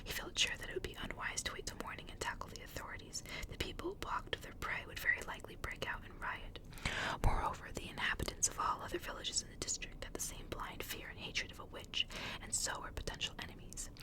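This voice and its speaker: Casting: female ASMR reader, fully whispered and breathy, hushed intimate delivery, close to the mic. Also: fast. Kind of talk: whispered ASMR story reading and chat.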